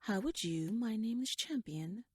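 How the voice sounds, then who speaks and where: A woman playing a shrewd, teasing royal noblewoman in an ASMR audio roleplay, speaking softly in a wry, courtly, measured way that slips toward a whisper. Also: on the high side, expressive, breathy edge, no echo. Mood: playful, probing.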